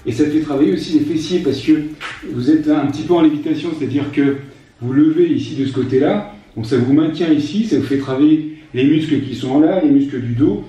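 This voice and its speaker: A man in his fifties leads a home strength workout in a soft, mellow voice, gently reassuring listeners and pausing with hesitations. He sounds distant from the microphone in a strongly echoing room, with faint music underneath. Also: a little high, muffled recording. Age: 50s. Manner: gentle, reassuring, repeats advice not to strain, frequent hesitations. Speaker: life coach leading a strength workout. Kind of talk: exercise instruction video. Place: room at home with an exercise mat. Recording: very echoey room, voice far from mic.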